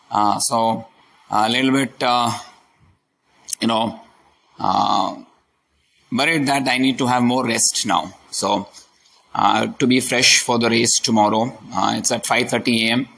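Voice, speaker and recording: Hoarse, gravelly man. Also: medium pitch, a bit bright, a little hiss or hum. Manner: halting, many fillers, speaking slowly and carefully. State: hoarse from a sore throat. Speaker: podcast host. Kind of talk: personal daily diary podcast. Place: new rented apartment, indoors.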